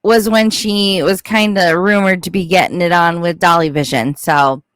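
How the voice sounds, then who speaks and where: A woman's voice: fairly low pitch, steady tone, moderate pace, fairly bright tone, dry recording. Woman commentator, close dry sound. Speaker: true crime YouTube commentator. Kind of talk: casual opinionated news commentary.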